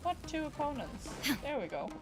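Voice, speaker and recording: Female game streamer, highish voice, very animated, playful, chatty, clear game music behind. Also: normal speed. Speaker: video game livestreamer. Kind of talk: casual gameplay commentary and chat. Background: video game music.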